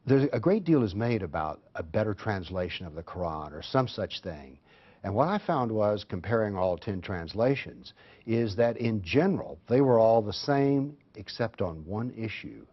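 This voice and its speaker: A male guest with a fairly low voice, speaking in a measured, earnest way as he answers questions. The sound is slightly dull and lo-fi. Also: expressive, husky. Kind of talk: interview answers.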